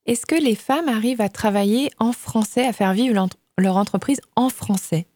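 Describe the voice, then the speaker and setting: A woman interviewer asking clear, measured questions of her guest. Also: fairly high pitch, warm tone. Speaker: radio interviewer.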